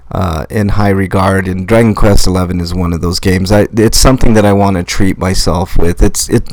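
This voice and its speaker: A man hosting a live podcast, talking casually with a hoarse voice in a rambling, blunt way, full of fillers. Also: deep voice, a little mellow, dry recording. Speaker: podcast host. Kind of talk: casual live-stream chat.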